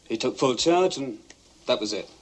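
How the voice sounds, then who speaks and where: A man's voice: mid-range voice, lively intonation, moderate pace, close to an everyday voice. An actor in a 1960s television drama playing a man who explains a fault in a plain, matter-of-fact way.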